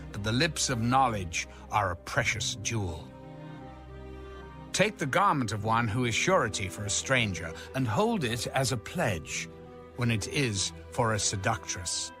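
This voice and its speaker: A man reading scripture aloud in a solemn, measured, declamatory style with music behind him. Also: medium pitch. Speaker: Bible reader.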